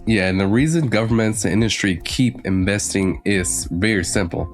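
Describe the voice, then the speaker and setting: Deep-voiced man giving technical commentary. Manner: orderly, listing points. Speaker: podcast co-host.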